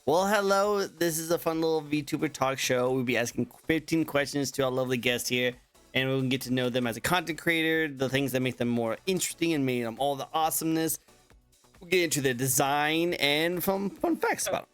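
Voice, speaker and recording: A man's voice: fairly high pitch, a little fast, a bit bright, clear, resonant tone. An upbeat man hosts a casual online talk show, chatty and playful. He often repeats his own lines and laughs easily, with a little music under his voice. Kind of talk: casual interview chat.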